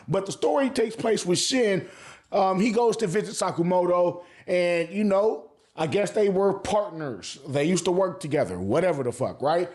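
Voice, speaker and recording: A man's voice: high voice, a little mellow. A man giving casual, slangy video commentary as an anime reviewer, swearing freely and repeating phrases for emphasis.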